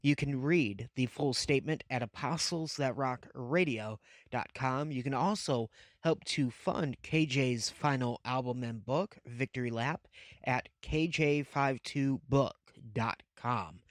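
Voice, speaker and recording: Male podcast host, easygoing and joking, mid-pitched, dry close sound. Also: measured pace, no room sound. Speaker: podcast host and news reader. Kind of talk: casual interview chat and read news segment.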